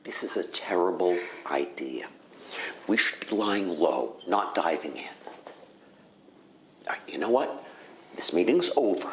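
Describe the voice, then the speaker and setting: A man's voice: low-pitched, big pitch swings, a little slow, long pauses. An actor playing a wary, firm, sceptical male researcher in naturalistic game dialogue. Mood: exasperated. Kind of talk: naturalistic recorded meeting dialogue. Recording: echoey noisy meeting tape.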